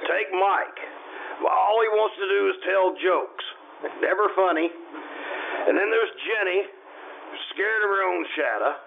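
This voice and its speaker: A man playing a fretful, overworked small-town store owner in a 1950s radio play, grumbling and halting as he talks. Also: high-pitched, creaky. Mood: exasperated. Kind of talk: old-time radio play acting. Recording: muffled, noisy amateur stage radio recording.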